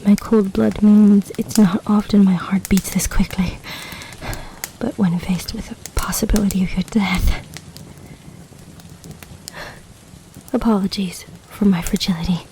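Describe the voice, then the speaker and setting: A woman acts out an imperious lamia empress, possessive and deeply in love. Her voice is dark and velvety, with formal, stately phrasing and a dramatic weight suited to a fantasy roleplay audio drama. Some noise sits behind the recording. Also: steady tone, normal speed, natural voice, low-quality recording. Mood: worried, tender. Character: regal, possessive, lovestruck snake-woman empress.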